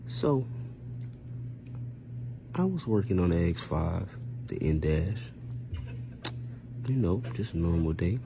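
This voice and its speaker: A man with a deep voice recounts a story casually. His delivery swings widely in pitch as he presses his grievance with rhetorical questions and reenacted exchanges. There is some light noise behind him. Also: big pitch swings, takes it slowly, gravelly, long pauses. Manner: aggrieved, emphatic, rhetorical questions, acts out exchanges. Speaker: storyteller recounting own experience. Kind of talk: casual first-person storytime.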